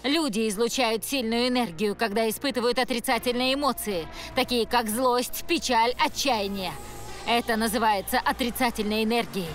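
Woman as a high-voiced, serious alien warrior lady. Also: moderate pace, faint music behind, natural voice. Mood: grave, explaining. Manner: earnest, dramatic explaining.

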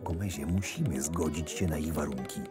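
Male actor, shrewd sardonic schemer, measured fantasy narration, extremely deep, music behind. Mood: hushed, persuasive. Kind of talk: first-person dramatic narration in fantasy audio drama.

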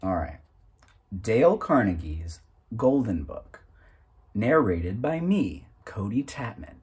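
A man in his thirties speaks to camera as a casual channel host. His pace is slow and halting, with lively swings in pitch. The voice has a slightly husky edge, and the delivery is informal and self-conscious. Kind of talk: casual, unscripted chat to camera.

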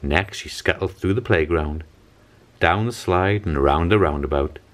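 Deep-voiced man reading a storybook. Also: normal speed. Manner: animated, dramatic storytelling. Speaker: storybook reader. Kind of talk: expressive picture-book narration.

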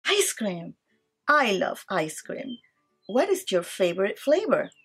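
A woman teaching small children through a read-aloud, with an animated, playful, child-directed delivery. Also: medium pitch, slow pace, husky, faint music behind. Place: small indoor classroom inside a mobile learning vehicle. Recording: distant mic with faint room noise.

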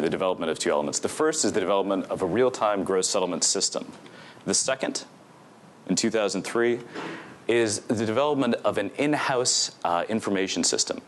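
Deep-voiced young man lecturing at a podium. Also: animated, normal speed, slight background noise. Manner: fluent lecturing with frequent fillers. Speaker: conference speaker. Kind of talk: academic presentation. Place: lecture hall stage at a podium. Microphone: podium microphone.

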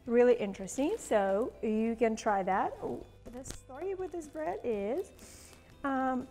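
A woman's voice: fairly high pitch, a little mellow, in a large hall. A woman in her forties hosting a cooking demonstration in a large home kitchen, chatty and bubbly, with a playful put-on Southern accent. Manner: chatty, bubbly, laughs often. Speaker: cooking show host.

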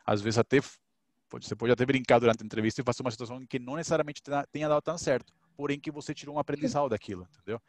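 A man's voice: medium pitch, fairly even intonation, rapid-fire, no room sound. A man in his thirties, an engineer, talking through a headset on a video call panel, rambling with many restarts. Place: indoors, home office with shelves.

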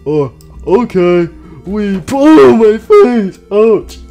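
Man doing a loud, dim, bewildered robot bear. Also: a little high, extremely slow, smooth, soft background music. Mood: confused. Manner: loud, bewildered, simple-minded. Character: big dim confused battered animatronic bear, loud and slow.

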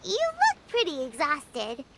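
A woman voicing a tiny, childlike fairy companion in a video game, chirpy and squeaky. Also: wildly swooping pitch, slow, very noisy, exaggerated voice. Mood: concerned. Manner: chirpy, speaks of herself in third person.

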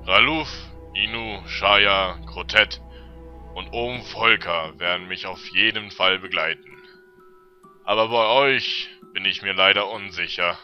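A man plays a thoughtful expedition leader in a fantasy radio play. His voice is on the low side and earnest, caring for his crew. The sound is slightly dull with music behind. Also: takes it slowly. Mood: concerned, earnest. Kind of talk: fantasy audio drama acting.

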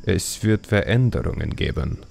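A man's voice: on the low side, expressive, moderate pace, creaky, darkish tone. A man voicing an earnest, brooding young male troll who wants to be a shadow hunter, grave and resolute, in a fantasy audiobook. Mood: grave, determined.

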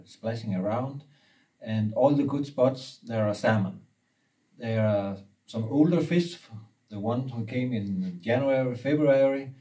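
A fishing guide in his forties whose first language is Danish, explaining steadily with pauses, recorded indoors from a few feet away. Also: medium pitch, mellow, far from the mic, poor audio. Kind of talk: casual interview. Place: indoor room with brick wall and river map.

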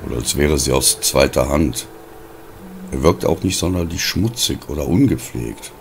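A man reading a scripted diary story as an audiobook narrator, in a measured, deliberate way with pauses between phrases. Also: a bass voice, creaky, background noise.

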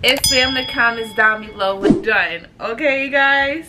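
A woman's voice: fairly high pitch, unhurried, heavy background noise. A woman in her twenties co-hosting a couple vlog, talking casually. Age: 20s. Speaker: vlog co-host. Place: car interior, later a bedroom at home. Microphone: action camera in car, later handheld camera a little way off.

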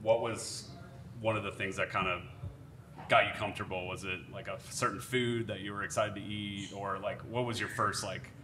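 A man's voice: lowish voice, steady tone, normal speed, noisy background. A young man co-hosting a casual interview, asking friendly questions into a desk microphone in an indoor studio. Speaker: co-host. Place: indoor studio set with plants. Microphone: desk mic on stand.

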